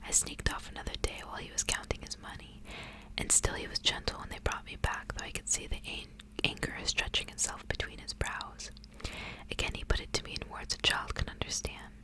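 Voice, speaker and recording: A woman speaking in a breathy whisper in the style of an ASMR reader, softly reading and chatting. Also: a little fast, poor audio. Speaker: ASMR reader hosting a book series. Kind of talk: whispered ASMR storybook reading with casual asides.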